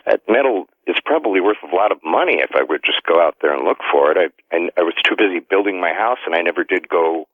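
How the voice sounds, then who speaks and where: A man's voice: sits low, animated, quick pace, few pauses, dry recording. A man from the United States calling a radio show over the phone, chatting casually and telling anecdotes. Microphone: telephone. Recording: narrow, tinny phone line.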